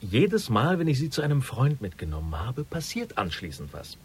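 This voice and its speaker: A man's voice: medium pitch, huge pitch swings, normal speed, slightly rough, heavily acted. An actor playing an anxious, lovesick rich businessman in a radio crime drama, confiding earnestly and with agitation. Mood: worried, agitated. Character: anxious, lovesick wealthy businessman confiding in his half-sister.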